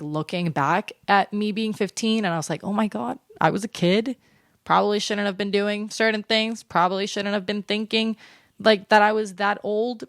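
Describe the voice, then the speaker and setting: A woman's voice: mid-range voice, no room sound, studio quality. Chatty young woman vlogger. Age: 20s. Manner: chatty, animated, filler-heavy with lots of "like". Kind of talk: casual sit-down chat to camera.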